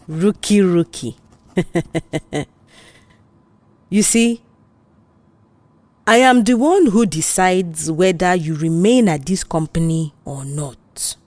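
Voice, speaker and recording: Smug, predatory male manager for audio drama, played by a woman; Nigerian accent, taunting, slow, condescending delivery. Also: lowish voice, a little mellow, no room sound. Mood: smug, menacing.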